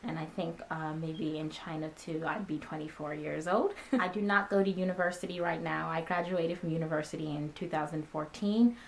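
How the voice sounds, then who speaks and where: A young woman in her twenties talks casually to her viewers, answering their common questions. Her voice sits a little low for a woman, and she speaks in a relaxed, friendly way, filling the pauses with ums and uhs. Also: slight background noise, poor audio. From born in Ghana, raised in America. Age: 20s. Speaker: vlogger. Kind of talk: casual talking-head Q&A.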